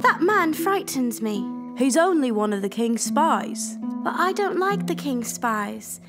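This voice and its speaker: A woman's voice: high-pitched, sing-song, measured pace, full character voice. A woman voicing an innocent, timid, earnest young child in an audio play, with music behind.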